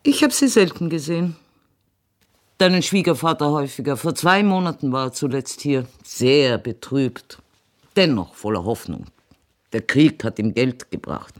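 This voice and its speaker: An actress playing an elderly, dignified and fond mother in a German radio play, with halting pauses and old-fashioned diction. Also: extremely low pitch, lively intonation. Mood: wry, disapproving.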